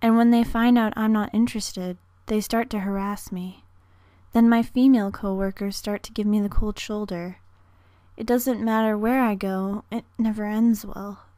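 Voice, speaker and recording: A woman playing a gentle, struggling mother in an amateur anime dub audition, tender, apologetic and wistful. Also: mid-range voice, steady tone, moderate pace, dry recording, close to an everyday voice. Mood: weary, apologetic.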